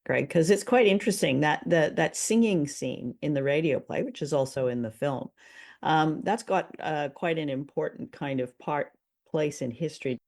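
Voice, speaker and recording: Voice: mid-range voice, normal speed, gravelly, a little mellow. A podcast host talking in a casual, explanatory discussion style.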